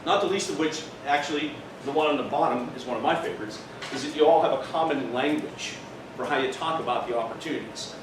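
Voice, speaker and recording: A man giving a seminar as a business trainer, explaining emphatically and posing rhetorical questions. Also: fairly high pitch. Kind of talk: live seminar presentation. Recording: roomy, distant mic, clearly noisy.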